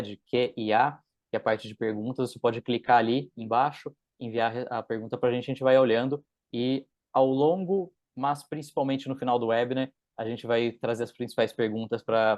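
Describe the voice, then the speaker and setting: Brief: Brazilian man, lowish voice, casual webinar host, dry sound. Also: darkish tone, dry recording. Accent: Brazilian Portuguese. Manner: casual, many fillers and restarts. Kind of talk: webinar hosting. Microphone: video call.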